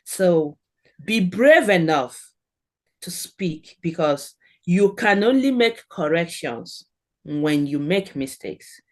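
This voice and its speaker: A woman's voice: fairly low pitch, darkish tone. Nigerian woman, earnest encouraging advice. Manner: earnest, encouraging, gives advice in short phrases. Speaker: guest, German language expert. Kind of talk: podcast interview.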